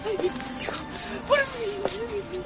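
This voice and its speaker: A woman's voice: very high-pitched, very slow, exaggerated voice. An actress playing a devastated, grieving Chilean mother in a radio drama, wailing and pleading. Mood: tearful. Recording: loud music behind.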